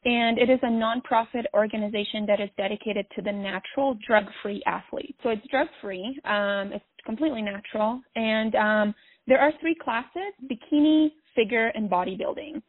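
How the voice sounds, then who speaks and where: A woman's voice: on the high side, normal speed, no room sound. Woman guest on a tinny phone line. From Bosnia. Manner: earnest, reflective, with frequent fillers. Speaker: podcast guest. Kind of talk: phone interview.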